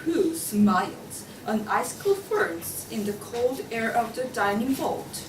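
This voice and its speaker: A woman's voice: a little high, animated, slow pace. A young woman reading scripted narration as a student narrator at a play table read. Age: young adult. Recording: roomy, distant mic, faint noise.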